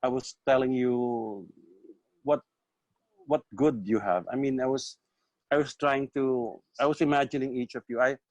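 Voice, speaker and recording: A man's voice: mid-range voice, no room sound, rough recording. A man hosting an online talk among composers, reflective, often repeating words as he recalls things. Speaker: composer and host. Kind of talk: casual online chat. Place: video call. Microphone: video call.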